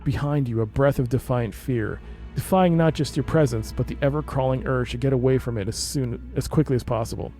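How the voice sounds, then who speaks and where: Man, game streamer, dark mellow tone, mid pitch, reading story text aloud, casual asides, faint music behind. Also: fairly quick.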